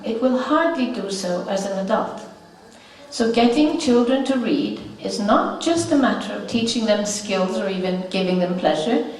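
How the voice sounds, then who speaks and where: Woman, Icelandic accent, fairly high voice, conference talk, measured pace with fillers, very echoey hall, mic at a distance. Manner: measured, pausing with frequent uh fillers. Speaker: conference speaker.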